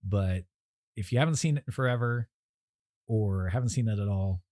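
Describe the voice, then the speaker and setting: A man's voice: fairly low pitch, normal speed, a little mellow, dry recording, studio quality. A man co-hosting a podcast, giving blunt, opinionated movie reviews in casual chat. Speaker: podcast co-host.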